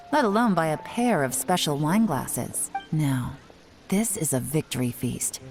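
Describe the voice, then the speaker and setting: Cool commanding secret agent, Yelan. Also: female voice, sits low. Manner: cool, measured and authoritative. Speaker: covert intelligence agent leading a surveillance operation. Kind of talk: video game dub acting. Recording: game soundtrack music underneath.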